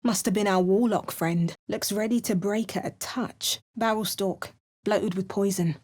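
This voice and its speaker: A woman's voice: medium pitch, fairly even intonation, unhurried, darkish tone, no room sound, natural voice. An actress voicing a wary, determined female adventurer hero with a British accent, trading dry asides with storybook narration. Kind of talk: video game character lines.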